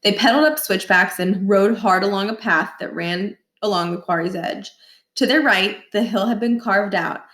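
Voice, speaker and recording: A woman reading a children's novel aloud as expressive, scripted narration. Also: medium pitch, normal speed. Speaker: storybook reader. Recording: roomy echo.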